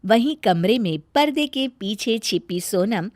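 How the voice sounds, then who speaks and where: High-pitched, expressive woman storyteller. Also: unhurried, no echo, clean studio sound. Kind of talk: scripted moral-story narration.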